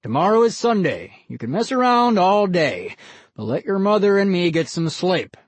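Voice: mid-range voice, very animated, normal speed, slightly rough, dry recording, voice pushed up. A narrator voicing a weary father scolding his sons through the wall in an audiobook. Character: tired, grumpy father of two boys.

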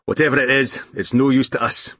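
A man voicing a grumpy, no-nonsense old grandfather in a children's storybook, gruff and curt. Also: medium pitch, little pitch movement, fairly quick, a bit bright, dry recording, pitched above the performer's own voice, close to an everyday voice.

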